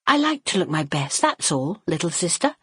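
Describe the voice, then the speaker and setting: A woman voicing an impetuous eighteen-year-old Victorian girl who is easily hurt and quick to plead. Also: fairly high pitch, sing-song, husky, no room sound, studio quality, voice pushed up. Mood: wounded.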